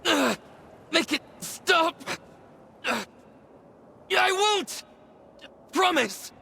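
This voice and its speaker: A man's voice: very high-pitched, animated, extremely slow, gravelly, pushed and bright, slight background noise, exaggerated voice. An actor playing a cocky, impatient young male fighter in a video game, with a brash manner. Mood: pained, pleading. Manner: brash, dismissive. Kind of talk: video game cutscene dialogue.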